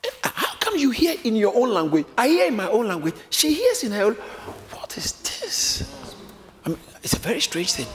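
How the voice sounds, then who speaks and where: A man preaching a sermon, lively and often acting out the voices of a crowd, joking with his congregation. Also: sits high, normal speed. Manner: animated, acts out crowd voices, jokes with congregation. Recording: echoey hall with faint room noise.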